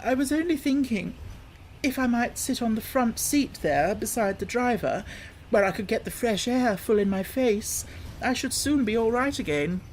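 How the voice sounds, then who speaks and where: A reader voicing a boastful, reckless male toad in a storybook, pitched well above their own voice and full of gleeful bragging. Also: lively intonation, moderate pace, a little hiss or hum, natural voice, rough recording. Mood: wheedling. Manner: gleeful boasting, shouting triumphantly. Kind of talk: storybook reading.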